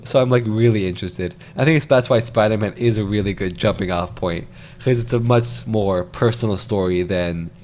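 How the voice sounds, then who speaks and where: A man's voice: fairly low pitch, normal speed, a little mellow, a little hiss or hum. A man chatting casually as a co-host in a movie discussion. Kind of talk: casual chat.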